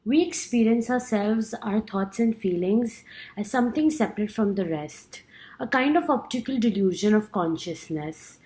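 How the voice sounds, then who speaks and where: A woman's voice: medium pitch, expressive, moderate pace, mellow. A young woman from India with an Indian accent, earnestly reading her class speech with small stumbles. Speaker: student.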